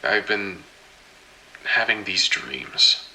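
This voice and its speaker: Very deep, slow, troubled man, acted. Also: animated, pushed and bright, exaggerated voice, poor audio. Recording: hissy background noise.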